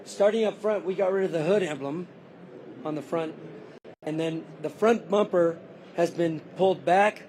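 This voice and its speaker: A man's voice: fairly high pitch, moderate pace, a little mellow, a little hiss or hum, low-quality recording. Man with a fading voice, walking through a custom car. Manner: detailed, matter-of-fact. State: losing his voice. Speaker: car builder. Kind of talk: walkaround explanation of a custom car. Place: convention show floor.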